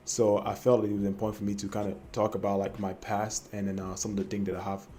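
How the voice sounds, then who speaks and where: A man's voice: on the low side, flat delivery, fast, mellow, faint music behind. A young man from Cameroon telling his personal trading story to camera, hesitant and full of fillers and restarts. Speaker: trading vlogger.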